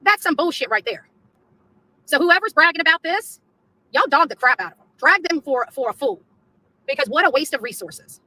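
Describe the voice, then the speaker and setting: Combative lady ranting live, high sharp voice, taunts and swears, says stuff twice. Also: expressive, normal speed, dry recording, poor audio. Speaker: activist streamer in a played clip. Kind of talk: confrontational live rant.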